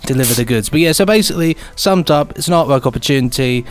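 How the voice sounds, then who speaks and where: A man's voice: medium pitch, lively intonation, fast talker. A man co-hosting a podcast, chatting casually and reading listener emails in a rambling, jokey way. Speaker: podcast co-host.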